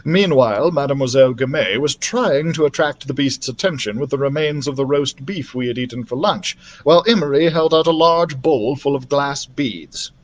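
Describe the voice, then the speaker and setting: A man narrating a children's fantasy novel as a library storyteller, expressively, in an animated manner. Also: medium pitch, normal speed, hardly any pauses. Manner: animated, acts out the characters' dialogue.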